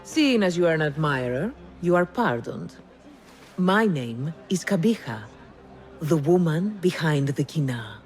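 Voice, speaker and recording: An actress playing a poised, shrewd and enigmatic perfumer in a video game, elegant and formal in her measured speech. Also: sits low, very animated, faint music behind. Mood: poised, probing. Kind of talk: dramatic game cutscene acting.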